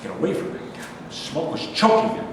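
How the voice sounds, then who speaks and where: A man's voice: a little fast, close to an everyday voice. A man acting in a staged play reading as a neurotic, aggrieved complainer, indignant and emphatic. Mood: bitter self-pity. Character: neurotic, aggrieved man who rants and grumbles. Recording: echoey hall from far off, rumbly noise.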